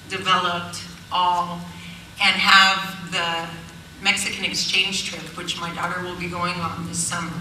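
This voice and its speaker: Bright-voiced woman in an echoey hall. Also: on the low side, a little hiss or hum. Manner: earnest, fillers and restarts. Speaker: panelist, immersion teacher. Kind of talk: panel talk. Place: event hall. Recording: echoey hall, far from mic.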